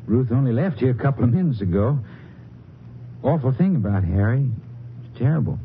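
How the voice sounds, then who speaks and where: A man's voice: mid-range voice, sing-song, brisk, close to an everyday voice. An American actor playing a nervous, evasive small-town hardware man in a 1950s western radio drama, with a folksy, hesitant and over-agreeable manner. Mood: uneasy, evasive. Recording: tinny, hissy 1950s radio recording.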